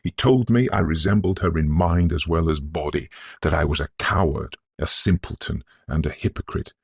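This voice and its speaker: Man acting a proud, husky young gentleman. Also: extremely low pitch, normal speed, no echo, exaggerated voice. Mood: bitter, wounded. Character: proud, emotional young Victorian gentleman, cast out by his father.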